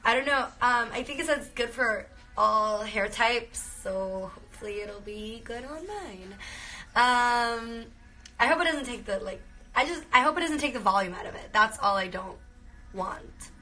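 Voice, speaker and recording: Young woman, Cuban-accented Spanish, chatty bilingual live-streamer, bright voice, close phone mic. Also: a little high, lively intonation, slow, soft background music. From Venezuela. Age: young adult. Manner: chatty, lots of fillers, laughs often. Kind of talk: casual bilingual live chat, switching between Spanish and English. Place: bedroom indoors. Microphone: phone held close.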